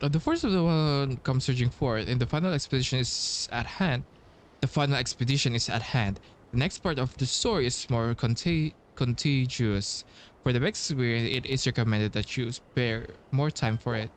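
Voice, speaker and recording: A man's voice: medium pitch, normal speed, slight background noise. A man streaming a game, reading its text aloud and commenting, stumbling and repeating himself as he goes. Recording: faint game music underneath. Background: faint game music.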